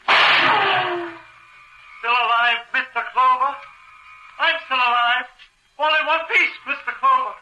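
A man's voice: very high voice, takes it slowly, long pauses, bright, forceful tone, faint music behind, full character voice. A man playing a mocking, menacing killer who calls out tauntingly in a 1940s radio crime drama. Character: taunting young male killer cornered in darkness. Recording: noisy vintage radio recording.

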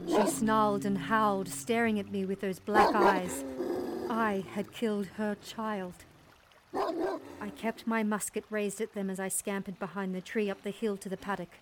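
A woman's voice: medium pitch, level tone, moderate pace, very noisy, natural voice. A woman playing a resolute young settler mother, telling her story in intense, dramatic first-person narration. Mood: tense, haunted. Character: resolute young settler mother recounting a wild dog attack.

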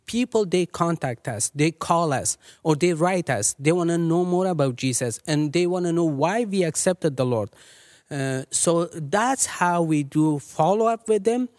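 A man from Afghanistan who leads a ministry, speaking earnestly and measuredly as an interview guest with many pauses and fillers. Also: on the high side, darkish tone, no room sound, clean studio sound. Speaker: ministry leader.

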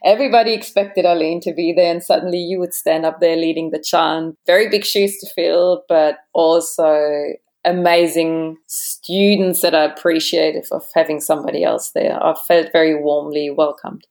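A woman's voice: low-pitched, steady tone, normal speed, darkish tone. A woman in her forties with a German accent, telling personal stories conversationally as an interview guest. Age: 40s. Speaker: interview guest, yoga teacher.

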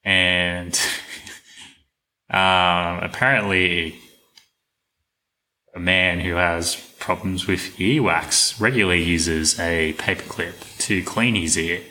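A man's voice: low-pitched, slow pace, long pauses, rough recording. A man co-hosting a podcast, chatting casually with many fillers and hesitations. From Australia. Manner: relaxed, hesitant with fillers, laughs often. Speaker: podcast co-host. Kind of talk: casual chat.

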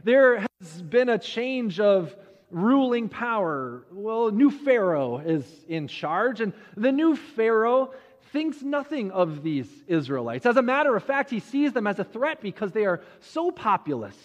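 Man, preacher, high-pitched voice, conversational sermon storytelling, rhetorical questions, dramatic pauses, slightly muffled audio. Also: very animated. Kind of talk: sermon retelling a Bible story. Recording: muffled audio with long hall reverb.

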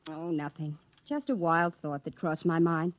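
A woman's voice: low voice, a little slow, dry recording. A woman playing a proper, concerned mother in an old-time radio drama, polite and maternal with an anxious edge. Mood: polite, puzzled. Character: concerned, proper mother, firm but indulgent.

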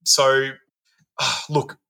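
A man's voice: medium pitch, flat delivery, very slow, gravelly, sharp tone. A man working as a play worker, answering interview questions in an earnest, thoughtful way, often repeating words as he thinks.

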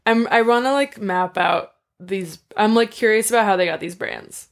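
A young woman co-hosting a podcast in casual chat, teasing and quipping with frequent laughs. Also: medium pitch. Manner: teasing, quick quips, laughs often. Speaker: podcast co-host.

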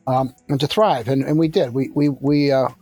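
Sixties male founder, mid-pitched, reflective and halting, with faint music underneath. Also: steady tone, a little mellow. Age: 60s. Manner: measured, thoughtful, many fillers and restarts. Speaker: construction company founder. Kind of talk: conversational interview answers.

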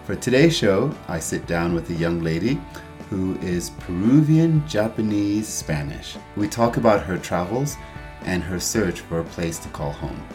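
A man's voice: sits low, lively intonation, unhurried, a little mellow, faint music behind. A man hosting a travel podcast, introducing the show and interviewing his guest.

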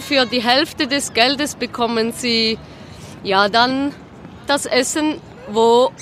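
A woman's voice: sits high, pushed and bright. A woman with a Swiss accent chatting casually and bluntly in slang, dropping in English words. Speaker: street interviewee. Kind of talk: casual spontaneous chat. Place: outdoors on an urban street. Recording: faint street and vehicle noise.

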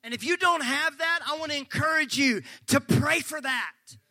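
A male pastor preaching a sermon, fervent and folksy, joking with the congregation and breaking into shouts. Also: very high-pitched, moderate pace, no echo. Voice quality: slightly gravelly.

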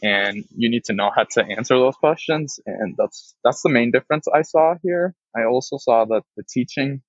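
A man's voice: medium pitch, normal speed, no room sound. Young man from Dubai, dull dry recording. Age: young adult. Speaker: guest, college student. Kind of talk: recorded guest statement.

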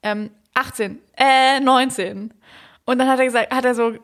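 A woman in her twenties co-hosting a casual chat, chatty and quick to laugh, dropping English words into her talk. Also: sits high, steady tone. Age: 20s. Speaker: podcast co-host.

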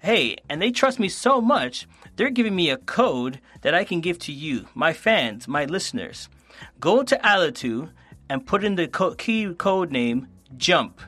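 A man's voice: fairly high pitch, very animated, fairly bright tone. A man hosting his own podcast, chatting eagerly with a guest and reading his sponsor thanks. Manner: friendly, eager, quick asides. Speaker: podcast host. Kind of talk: upbeat casual interview chat.

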